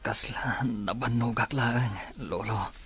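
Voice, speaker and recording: A man's voice: mid-range voice, slow pace, a bit bright, a little hiss or hum. A man playing a weary young patient weakened by treatment in a radio drama. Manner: weary, tired speech.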